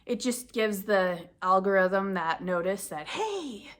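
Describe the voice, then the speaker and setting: A woman's voice: medium pitch, lively intonation, takes it slowly. A woman vlogging casually about knitting, sniffly from the cold, chatting in a rambling way that corrects itself as she goes. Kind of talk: casual chat to camera.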